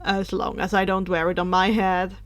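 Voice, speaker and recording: A woman voicing an earnest, good-natured teenage boy who is a Dementor, breathy and sheepish, in a story reading. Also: medium pitch, little pitch movement, moderate pace, dry recording, voice pushed down, lightly acted. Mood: sheepish, amused.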